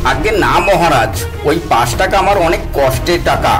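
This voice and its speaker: A man's voice: on the high side, animated, brisk, music in the background, lightly acted. An actor voicing a clever, stubborn male wise man in a cartoon, pleading and insisting on what he is owed. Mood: insistent.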